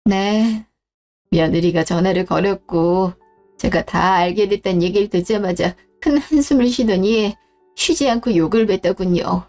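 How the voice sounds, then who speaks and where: A woman narrates a family story in the first person. Her delivery is low and subdued, with a somber, storytelling tone. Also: moderate pace, warm tone, faint music behind.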